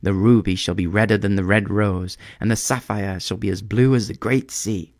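A man's voice: fairly low pitch, steady tone, normal speed, a little mellow, no room sound, clean studio sound, natural voice. A man voicing a small, devoted male swallow in a storybook, speaking in a lilting, yearning way.

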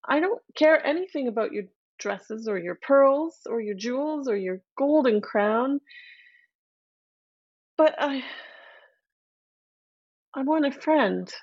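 A woman voicing a gentle, pleading frog. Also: sits high, animated, takes it slowly, pauses often, no room sound, muffled recording, voice pushed up, exaggerated voice. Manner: gentle, coaxing. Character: lonely pleading male frog in a well.

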